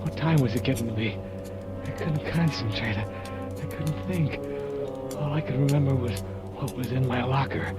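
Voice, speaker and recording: An actor playing a jittery young male factory worker who narrates in tense, halting first person in a vintage radio drama. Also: mid-range voice, loud music behind the voice, natural voice. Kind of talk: 1940s radio acting.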